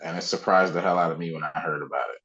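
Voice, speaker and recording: A man's voice: deep voice, steady tone, rapid-fire, fairly bright tone, dull audio. A man co-hosting a podcast in casual, slangy chat, freely giving his opinions. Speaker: podcast co-host.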